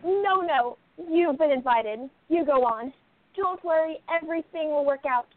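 A woman playing a composed, shrewd female sleuth in a radio play, with deliberate and rather formal phrasing. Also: high-pitched. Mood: gently reassuring. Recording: thin, phone-like band-limited audio.

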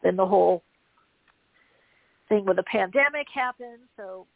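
A woman's voice: mid-range voice, measured pace, vocal fry. A woman hosting a spiritual talk show, chatting in a casual, rambling way and addressing her listeners informally. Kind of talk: casual live talk, interviewing. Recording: thin phone-like audio.

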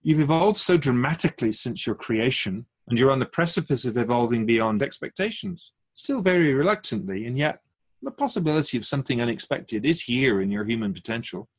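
Guy acting as calm otherworldly soul keeper, bit husky, formal and teachy, radio drama style. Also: mid-range voice. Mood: measured, explaining. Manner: measured, formal, didactic, rhetorical questions. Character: calm, wise otherworldly Keeper of souls, addressing humans. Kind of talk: radio drama dialogue, philosophical counsel.

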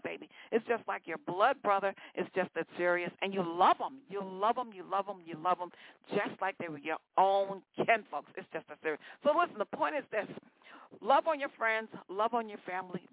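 A woman's voice: mid-range voice, no room sound. A sixty-year-old woman DJ chats between records, looping back on her own phrases and calling her listeners y'all and baby. The sound is thin and phone-like, with no room around it. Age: 60s. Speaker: radio DJ. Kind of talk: casual on-air chat between songs.

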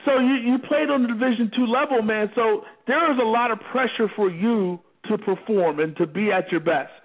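A man's voice: high voice, moderate pace, husky. A man hosting a sports talk show, interviewing a guest with probing, supportive questions over the phone. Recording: tinny phone line.

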